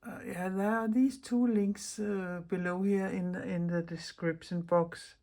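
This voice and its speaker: A woman's voice: fairly low pitch, fairly even intonation, unhurried, dark tone. A middle-aged woman from Denmark with a Danish accent, hosting a chatty craft tutorial in English as a second language and often restarting her words. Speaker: craft video host. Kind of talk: casual craft tutorial talk.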